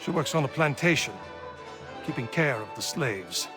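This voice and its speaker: A man's voice: medium pitch, expressive, normal speed, over music, lightly acted. An actor playing a plainspoken, principled, hard-drinking frontier man in a video game, with a blunt, folksy manner. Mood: frank.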